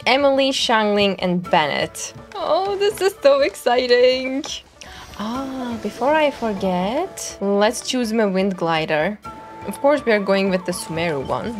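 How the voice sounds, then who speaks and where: A young woman giving casual, playful and excitable commentary as she streams a video game. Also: mid-range voice. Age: young adult. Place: small indoor home room. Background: game music.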